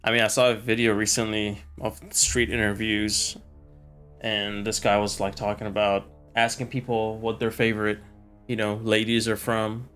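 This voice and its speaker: Young man, low voice, laid-back gaming chat, faint music behind. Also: normal speed, clear, resonant tone. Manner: relaxed, laid-back, says bro and dude. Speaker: gamer chatting with friends. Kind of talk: casual chat while gaming.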